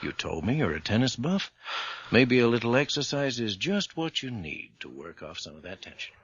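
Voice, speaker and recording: An actor playing a middle-aged, rational and reassuring psychiatrist in a radio drama, explaining things in a professional way. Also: male voice, mid-range voice, very animated, a little hiss or hum. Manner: measured, explanatory, professional, with thoughtful hesitations. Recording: dull, dry radio drama recording.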